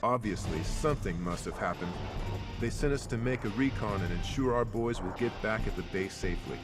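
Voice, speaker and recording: An actor playing a grave soldier who narrates a war mission in a measured, solemn, scripted way. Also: male voice, on the low side, music in the background, natural voice. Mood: grave recollection.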